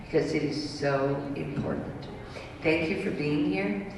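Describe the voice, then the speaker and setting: A speaker giving slow, earnest, encouraging remarks to a dance audience. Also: medium pitch. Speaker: event speaker. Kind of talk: closing remarks. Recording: echoey hall from far off, noisy.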